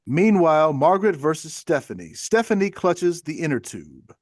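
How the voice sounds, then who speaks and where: A man narrates stage directions from a script. His voice is a little high for a man, and the sound is close and dry. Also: very animated, normal speed, darkish tone, no echo. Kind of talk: scripted narration at a table read.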